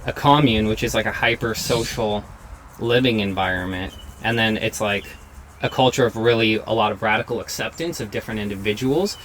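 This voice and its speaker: A man's voice: lowish voice, brisk, fairly bright tone. A young man hosting a chat while he draws, talking casually and easily, with many "like"s. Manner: easygoing, fills his talk with "like". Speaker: host and portrait artist. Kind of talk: casual conversation while drawing. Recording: home recording with faint noise.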